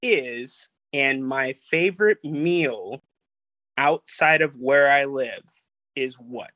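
A man hosting a casual chat, enthusiastic and quick with questions. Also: medium pitch, bright, forceful tone, no room sound. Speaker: host.

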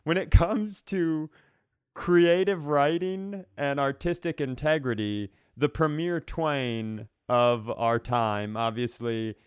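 A man with a clean, smooth voice sitting a little high, recorded close and dry. He riffs casually as a comedy podcast co-host, leaning into emphatic, sweary mock outrage. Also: slow pace, dry recording.

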